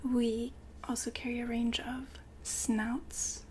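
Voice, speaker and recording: Casting: actress for a polite sci-fi shop consultant in ASMR roleplay, high female voice, whispered, slow and soothing. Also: little pitch movement, a little hiss or hum. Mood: calm, attentive. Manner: polite, unhurried, softly whispered customer-service talk. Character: courteous, soothing saleswoman at a futuristic fembot-building shop.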